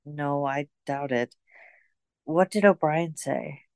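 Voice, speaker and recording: A woman's voice: sits low, slow pace, vocal fry, no room sound, heavily acted. A woman playing a devoted, wistful lover and rebel in a radio drama, speaking plainly and intimately. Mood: sleepy, wistful. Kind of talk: radio play acting.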